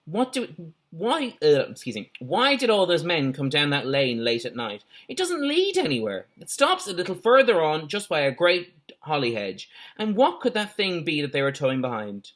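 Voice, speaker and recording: A man's voice: a little high, wildly swooping pitch, normal speed, a bit bright, pitched above the performer's own voice. A man voicing an earnest, agitated schoolboy telling a mystery in a children's story, emphatic and stumbling a little.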